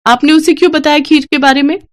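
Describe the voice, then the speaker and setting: A woman voicing an easily upset, scolding young village mother in a moral story, sharp and exclaiming. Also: sits high, fairly even intonation, fast, voice pushed up, lightly acted. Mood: reproachful. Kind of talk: animated Hindi moral story dialogue.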